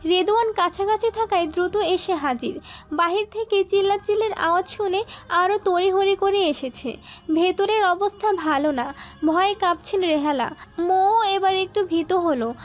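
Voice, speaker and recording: A woman's voice: extremely high pitch, normal speed. A woman reading scripted dramatic narration for an audio story. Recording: muffled band-limited sound, music bed.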